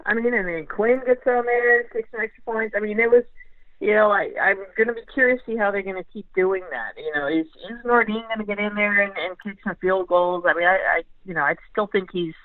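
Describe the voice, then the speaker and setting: A woman's voice: mid-range voice, fairly quick, no echo. A phone-like, narrow-band line carries an American woman talking sports as a guest analyst. She speaks casually and conversationally, often restarting and repeating phrases as she works out her point. The sound is dry, with no room around it. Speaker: sports beat writer, podcast guest.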